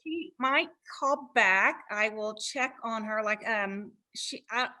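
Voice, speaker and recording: A woman's voice: on the high side, slow, bright, forceful tone. A woman chatting casually in an online meeting, like a staffer helping it along.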